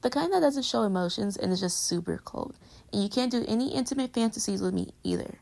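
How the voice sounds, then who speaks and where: A woman's voice: on the high side, animated, quick pace, vocal fry, darkish tone, low-quality recording. A woman playing a playful, clingy young girlfriend in an intimate pillow-talk roleplay audio, teasing and affectionate as she rambles between murmurs and exclamations. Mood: animated, mock-indignant.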